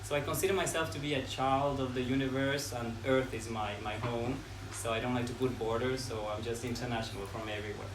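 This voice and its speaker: A man in his thirties from Ecuador, giving an earnest, unhurried talk to a live audience and listing ideas one by one. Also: mid-range voice, fairly even intonation. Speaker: speaker at a talk. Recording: echoey room from far off, noisy.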